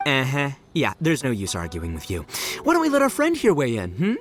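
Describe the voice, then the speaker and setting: An actor playing a brash, cocky young gang boss who talks in a boastful, showy way. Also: male voice, mid-range voice, huge pitch swings, fairly quick.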